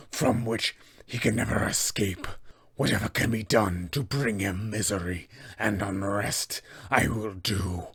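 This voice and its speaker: A man's voice: fairly bright tone, exaggerated voice. A man voicing a vengeful undead male paladin who speaks in a grim, menacing tone. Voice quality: gravelly, deep and slow.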